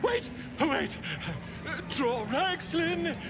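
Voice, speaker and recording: A high-voiced, stammering captive bard. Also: male voice, expressive, takes it slowly, over music, exaggerated voice. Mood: flustered. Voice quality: high, theatrical sing-song delivery with nervous stammering. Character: captive bard forced to sing flattery, flustered and theatrical.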